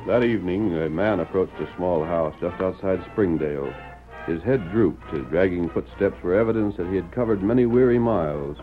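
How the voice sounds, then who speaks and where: A man's voice: low-pitched, moderate pace, lightly acted. A man giving dramatic scripted narration in old-time radio style. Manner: dramatic storytelling. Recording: muffled vintage radio recording. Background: music.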